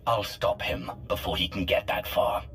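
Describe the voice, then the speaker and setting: A man's voice: sits low, normal speed, a bit bright, a little hiss or hum. A man playing a resolute Sith commander in a scripted video game, terse and controlled. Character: resolute, commanding male Sith Alliance leader.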